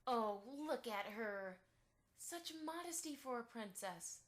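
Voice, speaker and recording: A woman's voice: a little high, expressive, slow pace. A woman voicing a chatty, eager-to-please pony mother in a fan radio play, effusive and a little anxious. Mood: gushing.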